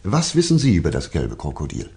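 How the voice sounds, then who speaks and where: A man's voice: very deep voice, wildly swooping pitch, fairly quick, gravelly, a little mellow, heavily acted. An actor playing a courteous, persistent male private detective in a German radio drama, polite and probing with hesitant interjections.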